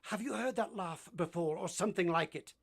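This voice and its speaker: Give me a man playing a brooding middle-aged gentleman for an old-time radio drama, intense and commanding, with halting delivery. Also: sits high, creaky. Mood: guarded, commanding. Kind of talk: vintage radio drama acting.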